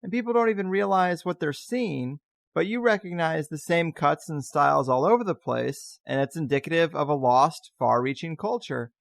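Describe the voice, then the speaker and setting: A man's voice: fairly high pitch, moderate pace, creaky, no room sound, clean studio sound. A man hosting a podcast, interviewing in a casual, conversational style.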